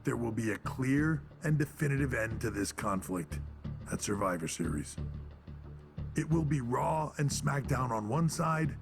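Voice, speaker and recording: Wrestling boss in a game, firm formal announcement, gravelly mid voice, music under it. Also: male voice, mid-range voice, expressive. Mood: formal, resolute. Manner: deliberate, official. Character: authoritative male wrestling executive, measured and firm.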